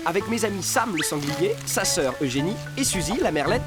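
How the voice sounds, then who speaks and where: A woman's voice: lowish voice, animated, brisk, over loud music, natural voice. A woman voicing a clever, sly young male fox who leads a gang of animals in a cartoon. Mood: confident. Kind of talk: French cartoon dubbing.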